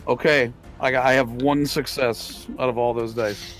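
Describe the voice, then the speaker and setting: Bright, excitable man at a game table. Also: medium pitch, normal speed, soft background music. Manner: excitable, exclamatory, swears freely. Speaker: roleplaying game player. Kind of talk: casual game-table chat.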